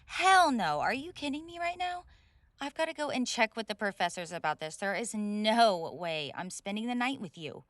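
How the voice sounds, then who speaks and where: A woman's voice: on the high side, expressive, normal speed, fairly bright tone, no room sound, studio quality. A woman playing a spoiled rich college bully in a roleplay audio drama, indignant, snobbish and sweary, stammering when flustered. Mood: indignant, disgusted. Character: haughty, spoiled rich college girl bully, hot-tempered tsundere. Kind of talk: scripted roleplay audio drama, one side of a dialogue.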